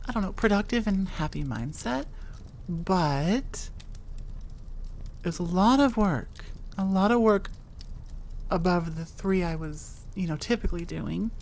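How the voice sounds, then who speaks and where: A woman's voice: on the low side, animated, unhurried, pauses often, darkish tone, a little hiss or hum. A woman hosting her own audio channel, chatting casually in an outro and often correcting herself. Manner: rambling, self-correcting, with frequent fillers. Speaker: audio creator and host.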